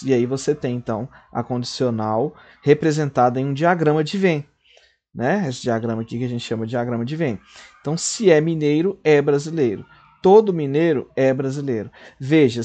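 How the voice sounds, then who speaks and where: A man's voice: a little high, mellow. A man, teaching a logic lesson at a board. He explains step by step and keeps checking in with his students.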